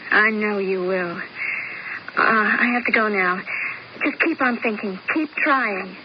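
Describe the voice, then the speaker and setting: An actress playing a vain, needy young wife in a radio drama, melodramatic and pleading in manner. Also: female voice, mid-range voice, slow pace, close to an everyday voice. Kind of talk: old-time radio drama acting. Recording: muffled, noisy 1970s radio broadcast.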